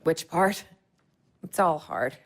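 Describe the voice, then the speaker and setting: A woman's voice: lowish voice, takes it slowly, heavily acted. An actress playing a chatty young woman, a psychology grad student, in an audio play, telling stories with a lot of laughter. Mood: animated. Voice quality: lively, laughing storyteller.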